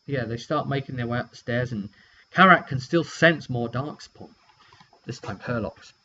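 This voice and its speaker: A British man with a mid-pitched voice tells the story of a tabletop roleplaying session in a loose vlog style. He rambles and hesitates as he goes. The sound is slightly dull, with a faint hum underneath. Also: fairly bright tone. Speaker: tabletop game master recapping sessions.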